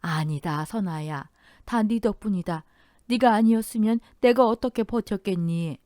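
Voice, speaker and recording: A woman voicing a grieving elderly mother-in-law, pleading and wailing in a high, strained voice. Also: moderate pace, darkish tone, no echo, studio quality, natural voice. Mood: tender.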